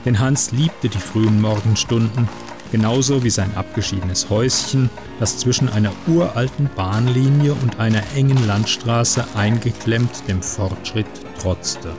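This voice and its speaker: A man's voice: on the low side, a little slow. Man narrating a story over prominent music. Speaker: narrator of a short-story podcast. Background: music under the voice.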